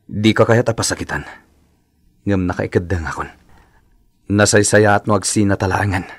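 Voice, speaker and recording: A man's voice: fairly low pitch, normal speed, breathy edge, dry recording, studio quality. A man playing an aggrieved, resolute husband in a radio drama, firm and reproachful.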